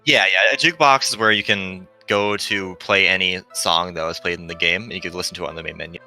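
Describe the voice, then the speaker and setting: A man's voice: low-pitched, steady tone, quick pace, pushed and bright, faint music behind. A man in his twenties chatting casually as a guest game developer about his work. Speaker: visual novel developer, guest.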